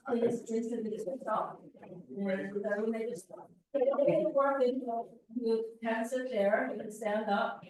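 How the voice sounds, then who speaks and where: A woman's voice: mid-range voice, darkish tone, a little hiss or hum. A woman chairing a community committee meeting, hesitant and full of fillers. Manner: hesitant, many fillers, trailing off. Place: community board meeting room. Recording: echoey room, far from the mic.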